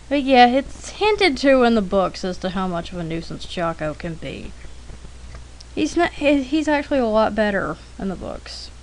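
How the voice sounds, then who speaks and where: Slow-paced woman chatting casually, noisy recording. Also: on the high side, sing-song. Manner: chatty, informal, frequent throat clearing. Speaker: artist narrating a drawing demonstration. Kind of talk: casual rambling anecdotal commentary.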